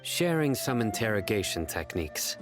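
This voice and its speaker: An actor playing a stern, terse enforcer in a video game, deadpan and curt with quiet menace. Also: male voice, lowish voice, normal speed, loud music behind the voice.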